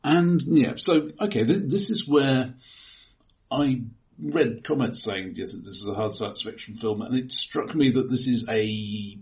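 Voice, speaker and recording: A man co-hosting a casual film discussion, speaking in a hesitant, halting, analytical way. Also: medium pitch. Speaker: podcast co-host.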